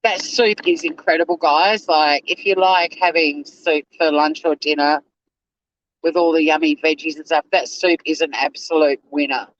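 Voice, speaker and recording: An Australian man hosting a casual livestream, chatty and animated, swearing freely, with a slightly whiny voice. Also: a little high, normal speed, dry recording, muffled recording. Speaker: livestream host. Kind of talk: casual livestream chat to viewers.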